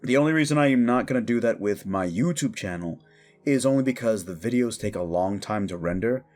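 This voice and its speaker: Guy with a lowish voice doing a casual, gushing creator outro, faint music behind. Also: a little mellow. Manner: effusive, informal. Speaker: audio roleplay creator and host. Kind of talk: casual outro chat to listeners.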